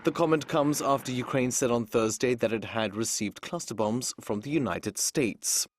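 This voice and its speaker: Man news anchor, steady measured read, mid pitch. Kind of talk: scripted world news reading.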